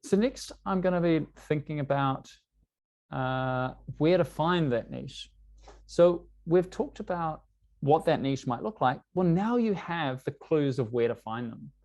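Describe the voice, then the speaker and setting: A man's voice: fairly high pitch, animated, a little slow, darkish tone. A man from New Zealand with a New Zealand English accent, presenting an online workshop in a conversational way, often saying "you know". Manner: conversational, uses many fillers like "you know". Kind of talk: online business workshop talk. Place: lives in London.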